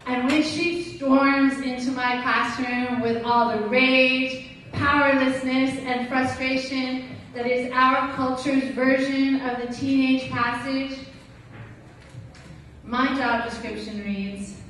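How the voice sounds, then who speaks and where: Female spoken-word performer, teacher type: high voice, unhurried, emphatic phrasing, heard in a very reverberant hall. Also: steady tone, a bit bright. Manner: deliberate, emphatic, dramatic delivery with pointed pauses. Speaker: teacher performing slam poetry. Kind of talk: slam poetry recitation with acted teacher dialogue. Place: large echoey room at a gala event. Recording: echoey hall, distant mic, faint noise.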